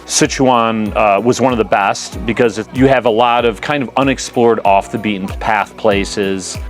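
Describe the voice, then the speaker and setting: A man's voice: on the low side, steady tone, moderate pace. Easygoing American man vlogging outdoors. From United States. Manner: easygoing, chatty, filler-heavy. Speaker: vlogger guide. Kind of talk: casual travel vlog narration. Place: outdoors on a forest hiking trail. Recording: music playing under the voice.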